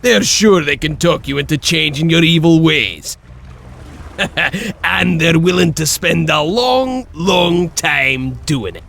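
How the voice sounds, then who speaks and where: An actor playing a feisty, gruff male wolf tracker in a video game, excitable and scornful with a raspy voice. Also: a little high, slow, exaggerated voice. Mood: scornful, mocking. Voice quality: raspy, gravelly. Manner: excitable, exclamatory.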